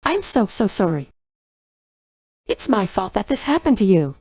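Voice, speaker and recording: A synthetic woman's voice playing a gentle, apologetic young female genie in a game cutscene dub, breathy and thin, with stilted, earnest delivery. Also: mid-range voice, sing-song, unhurried, dry recording, studio quality.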